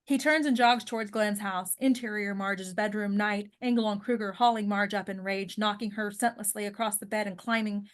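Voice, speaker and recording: A woman's voice: mid-range voice, steady tone, fairly quick, hardly any pauses. A woman narrating screenplay directions at a table read, now and then stumbling over words and rereading them. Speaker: narrator reading stage directions.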